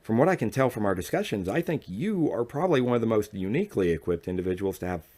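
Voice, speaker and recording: A reassuring woman interviewer as a male narrator plays her in a story. The voice is raised and lilting. She talks congenially, gently persuading her guest. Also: fairly low pitch, sing-song, fast talker, voice pushed up.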